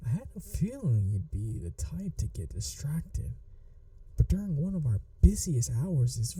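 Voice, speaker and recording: A woman acts out a flirty, adorkable female manager in an ASMR roleplay. Her voice is very soft and dark, teasing and fond, with unhurried phrasing that rises and dips expressively. The sound is dry and intimate. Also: low-pitched, no room sound, far from the mic, heavily acted. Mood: mock scolding. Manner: soft, coaxing, playfully teasing, slipping into whispers. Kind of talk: ASMR roleplay, acted monologue to listener.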